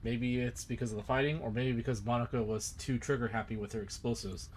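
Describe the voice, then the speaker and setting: A man's voice: medium pitch, steady tone, quick pace, slight background noise. A man giving casual commentary as a game streamer, talking through his guesses.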